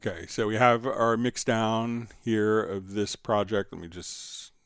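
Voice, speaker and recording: A man hosting a live software tutorial in an informal, conversational way, thinking aloud as he demonstrates. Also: on the low side, fairly even intonation, a little slow, clean tone, dry recording, studio quality, soft background music. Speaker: livestream host and software instructor.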